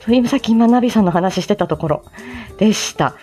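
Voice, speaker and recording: A woman's voice: mid-range voice, animated, quick pace, mellow, slightly rough, faint music behind. A woman chatting casually on a morning livestream in a polite, giggly, talkative way. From Japan, outside Tokyo. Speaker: livestream host, amateur voice drama performer.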